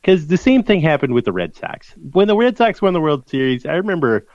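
Animated male sports-pod co-host with a lively, swinging delivery and a dull, dry lo-fi sound. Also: a little high, fairly quick, dry recording. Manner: animated, ranting. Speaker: podcast co-host. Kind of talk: casual sports chat.

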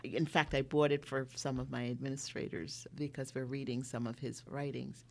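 A middle-aged woman from Jamaica, a school leader guest on a podcast, speaking earnestly and reflectively, slowing down for emphasis. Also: very deep voice, steady tone, vocal fry, a little mellow. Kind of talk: podcast interview.

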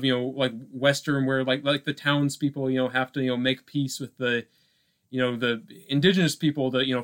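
A man co-hosting a podcast in a casual film discussion, talking haltingly with repeated words and lots of filler phrases. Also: mid-range voice, fairly bright tone. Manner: halting, repetitive, peppered with "like" and "you know". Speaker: podcast co-host.

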